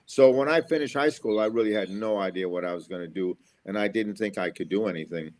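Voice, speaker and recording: A man's voice: fairly low pitch, fast, darkish tone. A middle-aged American man from Florida, an engineer and school speaker, giving upbeat, motivational interview answers and repeating his key phrases. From USA, Florida.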